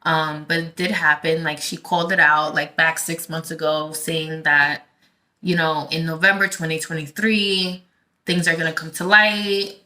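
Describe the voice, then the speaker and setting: A woman from the Dominican Republic chats casually to her online audience. Her voice is fairly low and clearly bright, with a forward edge. She takes her time, rambling and halting as she works out what to say next. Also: fairly even intonation. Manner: halting, rambling, thinking aloud. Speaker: tarot reader and channel host. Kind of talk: casual vlog talk to viewers.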